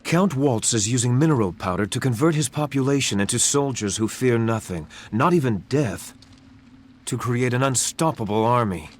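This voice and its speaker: An actor playing a dutiful young prince in a video game cutscene, speaking courteously and gravely. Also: male voice, mid-range voice, lively intonation, moderate pace, natural voice.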